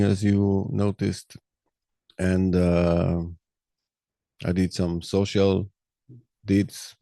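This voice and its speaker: Slow gravelly Israeli man, low voice. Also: mellow, dry recording. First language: Hebrew. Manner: slow, halting, many fillers. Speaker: podcast host. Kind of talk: personal monologue.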